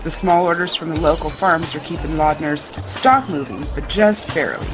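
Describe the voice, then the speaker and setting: Brisk woman overseer played by an actress, music behind. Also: low voice, close to an everyday voice. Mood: businesslike. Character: brisk female camp overseer, eager to please boss. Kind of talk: audio drama acting.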